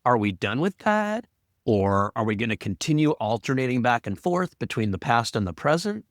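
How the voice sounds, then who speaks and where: Guy cohosting a podcast, really animated, teasing chat, dry studio-ish sound. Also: medium pitch, normal speed, no echo. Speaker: podcast co-host and audio producer. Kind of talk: casual podcast discussion.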